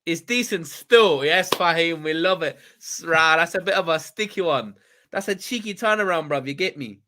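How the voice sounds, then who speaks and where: Irish man, podcast co-host, bright forceful tone, slightly high voice, teasing, rambling. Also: moderate pace. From Ireland. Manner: teasing, jokey, rambling with restarts. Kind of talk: casual banter and football chat.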